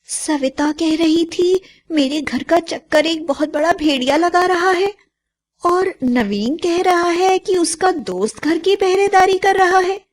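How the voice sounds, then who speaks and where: A woman as a high-voiced, devoted sister. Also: unhurried. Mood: suspicious. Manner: emphatic, earnest, expressive. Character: protective, devoted village sister and mother of small children. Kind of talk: dramatised children's story voice acting.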